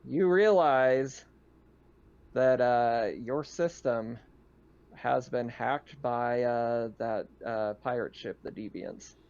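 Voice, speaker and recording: A man with a mid-pitched voice acts as game master for a tabletop roleplaying session. He narrates casually, making it up as he goes in halting phrases. The recording is a little dull. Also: darkish tone, clear, resonant tone.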